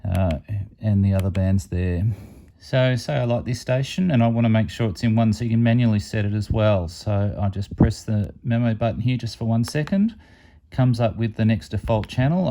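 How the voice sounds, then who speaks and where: A man's voice: fairly low pitch, mellow. A man giving an informal, unscripted step-by-step product demonstration as a hobby reviewer.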